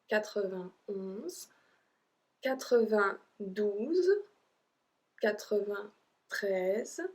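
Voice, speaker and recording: French-accented lady teaching a lesson, slow and careful, chatty bits in between. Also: mid-range voice, a little mellow. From French-speaking Europe. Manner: slow, deliberate drilling, chatty asides. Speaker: language teacher. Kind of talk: online language lesson.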